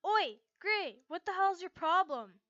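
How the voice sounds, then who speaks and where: A woman's voice: very high voice, expressive, a little slow, pushed and bright, dry recording, muffled recording, voice pushed up, heavily acted. A woman voicing a hot-headed, possessive teenage boy from an anime story, brash and indignant. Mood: furious.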